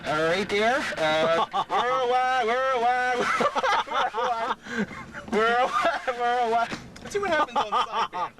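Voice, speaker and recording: A man's voice: very high-pitched, lively intonation, slow pace. A man driving a car and testing a big radio amplifier, joking, laughing a lot and hollering into the radio. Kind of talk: casual chat on the road. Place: moving car. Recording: loud road noise, home video.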